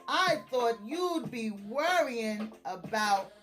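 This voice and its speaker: A woman voicing an anxious, grateful male turkey from a storybook, with a halting, earnest way of talking. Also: on the high side, expressive, fairly bright tone, voice pushed down. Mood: relieved, grateful.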